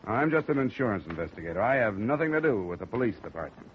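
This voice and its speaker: An actor playing a tough, sardonic male insurance investigator in a 1950s radio drama, talking in a dry, needling deadpan. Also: on the low side, fast. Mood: coolly probing. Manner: wry, needling, deadpan menace. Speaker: lead detective and narrator. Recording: dull old radio broadcast, faint hiss.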